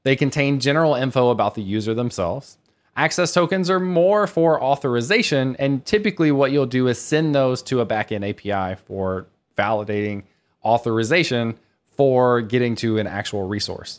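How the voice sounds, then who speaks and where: A man presenting a technical conference talk in a conversational, reassuring way, explaining things plainly and informally. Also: medium pitch. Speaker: conference speaker, developer teaching web development.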